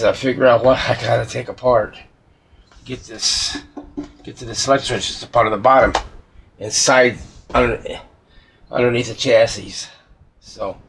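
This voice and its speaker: A man's voice: medium pitch, fairly bright tone. A man working as a hobbyist repairer, giving casual, rambling commentary and thinking aloud as he works. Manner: muttering to himself, thinking aloud. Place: indoor workshop. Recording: clear background noise, clicks of handling.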